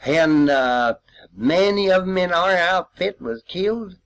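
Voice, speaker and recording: Elderly male veteran. Unhurried, rambling, folksy storyteller with very lively intonation. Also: high voice. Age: 80s or older. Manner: folksy, rambling storytelling with old-time expressions. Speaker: World War II veteran sharing memories. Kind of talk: informal spoken reminiscence.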